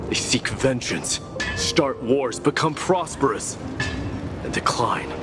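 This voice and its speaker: Game hero: brooding reluctant prince, solemn, slow, expressive intonation, loud score underneath. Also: male voice, medium pitch, loud music behind the voice. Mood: brooding, troubled. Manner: solemn, measured, intense. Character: brooding reluctant young warrior prince, burdened and resolute. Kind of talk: dramatic video game voice acting.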